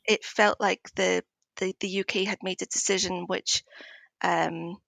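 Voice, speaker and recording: A woman with an Edinburgh Scottish accent, speaking conversationally as an interview guest in a thoughtful, hesitant, measured way. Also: lowish voice, steady tone, creaky, a bit bright, dry recording. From Scotland, Edinburgh.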